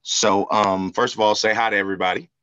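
A man's voice: on the low side, steady tone, fairly quick, fairly bright tone, clean tone, lo-fi sound. A man hosting a podcast in casual, slangy, joking interview chat, teasing his guest informally. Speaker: podcast host.

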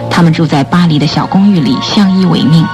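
A woman's voice: deep voice, fairly quick. A woman narrating a radio drama in a scripted, dramatic storytelling style. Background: background music.

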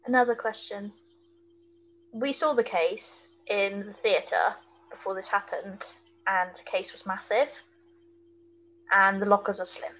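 A woman's voice: medium pitch, steady tone, unhurried, pauses often, a bit bright, highs cut off, slight background noise. A woman co-hosting a podcast in casual chat, reacting with informal, blunt asides and questions. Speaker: podcast co-host.

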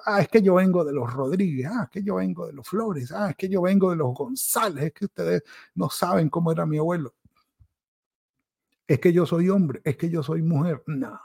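A male pastor preaching a devotional sermon, who pauses and restarts often and mimics people's excuses in quick quoted asides. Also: a little high, creaky, darkish tone, breathy edge. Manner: pauses and restarts, self-corrects.

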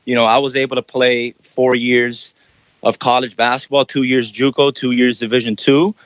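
A young adult man from Miami tells his story in a relaxed podcast chat, full of "you know" fillers. His voice sits at an everyday middle pitch. The sound is close and dry, with no room echo. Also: normal speed. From Miami, Florida. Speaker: basketball trainer. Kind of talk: casual podcast interview.

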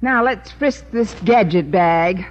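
An actress playing a sardonic, wisecracking schoolteacher with dry comic timing in an old radio comedy. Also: female voice, medium pitch, slow. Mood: conspiratorial. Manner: deadpan, dry sarcastic timing. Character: wry, wisecracking adult female schoolteacher, cool and sardonic. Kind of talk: 1940s-50s radio comedy acting. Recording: muffled, noisy old radio recording.

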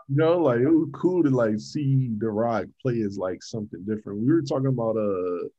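A man hosting a casual chat among friends, talking haltingly with many fillers and restarts. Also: mid-range voice, warm tone, dull audio.

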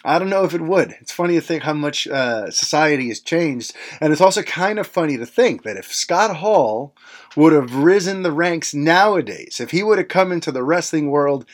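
A man's voice: fairly high pitch, lively intonation, normal speed. A man in his late thirties hosting a podcast, recalling old memories in a loose, conversational monologue full of "you know" asides. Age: late 30s. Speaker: podcast host. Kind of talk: casual reflective monologue.

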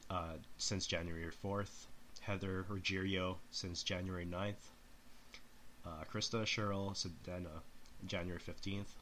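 A man reading out a list of birthdays and anniversaries, pausing between names and dates. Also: deep voice, level tone, sharp tone, slight background noise.

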